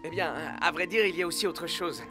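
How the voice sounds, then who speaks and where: A man voicing a young star striker in an animated series, eager and self-doubting, with an expressive, youthful delivery. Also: fairly high pitch, a little fast, soft background music. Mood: confident. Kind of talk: French animated series dub acting.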